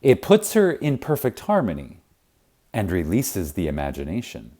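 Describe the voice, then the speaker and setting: Male audiobook narrator, low mellow voice, reverent delivery, expressive intonation, deliberate pauses. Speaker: audiobook reader. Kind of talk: scripted narration of a spiritual self-help audiobook.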